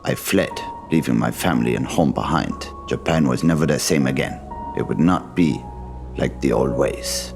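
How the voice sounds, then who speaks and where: A man's voice: extremely low pitch, moderate pace, creaky, music in the background. A man voicing a bitter, vengeful ghost of a ninja, the last of his clan, in dramatic narration. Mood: bitter, mournful.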